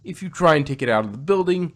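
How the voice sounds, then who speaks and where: A man's voice: a little high, animated, quick pace. A man chatting casually as co-host of a review show, rambling and repeating his phrases as he goes. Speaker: podcast co-host reviewing audio dramas. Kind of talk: casual discussion. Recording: noticeable background noise.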